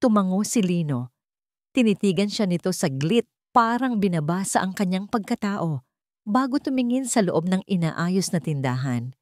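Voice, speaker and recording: A woman's voice: mid-range voice, lively intonation, moderate pace, darkish tone, no room sound, studio quality. A woman with a Filipino accent reading a scripted romantic drama story as its narrator.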